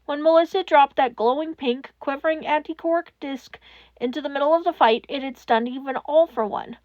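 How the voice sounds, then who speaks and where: Woman, high voice, expressive story narration, amateur podfic reader, dry sound, slightly lo-fi recording. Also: moderate pace, dry recording. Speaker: amateur fan fiction narrator.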